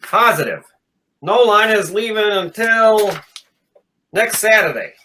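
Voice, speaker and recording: A man's voice: high voice, lively intonation, takes it slowly, sharp tone, slight background noise, exaggerated voice. An actor playing a curt, self-assured male customs officer in 1940s radio style, clipped and businesslike. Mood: brisk, businesslike.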